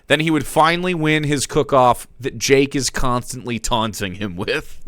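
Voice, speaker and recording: A man's voice: medium pitch, measured pace, a bit bright, no room sound. Theatrical streamer man reading aloud. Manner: theatrical, breaks off to laugh and comment. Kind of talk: dramatic reading of fan fiction.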